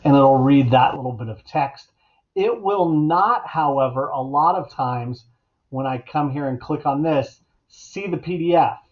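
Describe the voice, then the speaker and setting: Higher-pitched man, dull lo-fi recording. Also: a little slow. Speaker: educator giving a software tutorial. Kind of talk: casual instructional screencast narration. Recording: dull, lo-fi computer mic sound.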